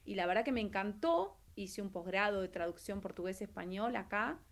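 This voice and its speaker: A middle-aged woman from Argentina with an Argentine accent, speaking casually as an interview guest and explaining her work, pausing mid-thought as she goes. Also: medium pitch, animated. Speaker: interview guest, actress and translator. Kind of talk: casual interview, telling career story.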